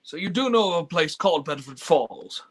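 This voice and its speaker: A man's voice: on the high side, animated, moderate pace, slightly rough. A man playing an emotional, stammering family man in a live radio play, speaking with breathless urgency. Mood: urgent, pleading. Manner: stammering, impassioned, breathless pleading. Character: frantic, despairing then overjoyed family man.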